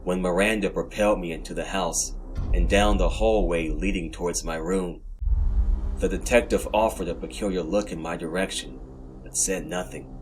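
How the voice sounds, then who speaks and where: A man with a low voice reads horror fiction in the first person as a podcast narrator. His delivery is dramatic and scripted, with music clearly playing behind him. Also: fairly even intonation, normal speed, rough recording.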